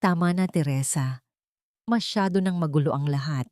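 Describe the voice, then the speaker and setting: A woman's voice: deep voice, moderate pace, a little mellow, no room sound, clean studio sound, lightly acted. A woman voicing a weary, stern rich father in an audio drama, tired and dismissive.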